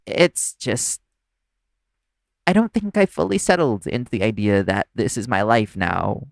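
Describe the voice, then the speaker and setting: A man acting in an audio roleplay as a nervous, caring young vampire's human partner, speaking in a halting, stammering way. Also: lowish voice, very animated, gravelly, no echo, studio quality, full character voice. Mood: overwhelmed, earnest.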